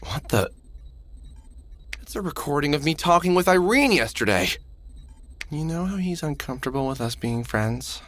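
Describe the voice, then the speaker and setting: A man's voice: on the high side, big pitch swings, moderate pace, long pauses, fairly bright tone. An actor playing a weary, cynical middle-aged man in a sci-fi visual novel, dry and sardonic, muttering observations to himself. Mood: puzzled, musing. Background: music.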